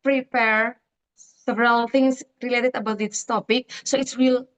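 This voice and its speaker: A woman's voice: a little high, fairly even intonation, a little slow. A young woman with an Indonesian accent, speaking English as a second language, presenting an online orientation with many fillers and restarts. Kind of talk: presenting on a video call. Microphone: video call.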